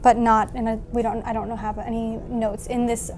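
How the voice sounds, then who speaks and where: A woman with a fairly high voice talks casually as a player in a tabletop role-playing game, stating her actions and asking questions. Soft music runs faintly underneath. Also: little pitch movement, fairly quick.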